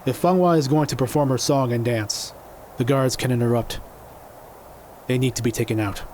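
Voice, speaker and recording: A man playing a cool, confident magical fighter in an audio drama, speaking in a deliberate way. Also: mid-range voice, steady tone, darkish tone, slight background noise, natural voice. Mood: focused.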